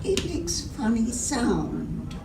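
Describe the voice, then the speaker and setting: A woman's voice: fairly high pitch, very animated, very slow, vocal fry, exaggerated voice. An older woman playing a scatterbrained, hesitant woman in an amateur radio theatre reading. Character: scatterbrained woman with a broken old vacuum. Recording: echoey room, distant mic, noisy background.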